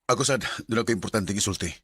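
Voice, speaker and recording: Insistent low-voiced young Cebuano lover. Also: male voice, rapid-fire, a bit bright, husky, no room sound, clean studio sound. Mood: tense. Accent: Cebuano Filipino. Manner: earnest, pressing. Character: Vince, insistent young male lover with secret affair. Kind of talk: Bisaya radio drama acting.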